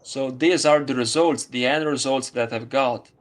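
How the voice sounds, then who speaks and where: A man with an Italian accent, speaking English as a second language in a casual conversation, halting with many fillers. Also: medium pitch, fairly even intonation. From Italy. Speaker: student.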